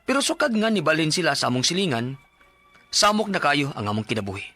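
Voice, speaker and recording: A riled Cebuano husband. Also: male voice, wildly swooping pitch, fast talker, faint music behind, exaggerated voice. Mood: earnest. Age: 30s. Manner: flares into loud, high-pitched outbursts in arguments. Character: 33-year-old husband, harried and easily riled. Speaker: letter writer narrating his own story. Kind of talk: 1990s radio drama acting.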